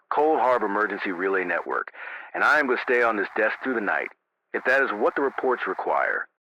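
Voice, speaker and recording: A man playing a grave, careful emergency radio broadcaster on night shift, measured and precise, heard through a lo-fi radio filter. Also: fairly low pitch, bright, forceful tone. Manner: measured, precise, solemn, deliberate. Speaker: radio announcer. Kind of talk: analog horror emergency radio broadcast narration. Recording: dull lo-fi radio sound, faint noise.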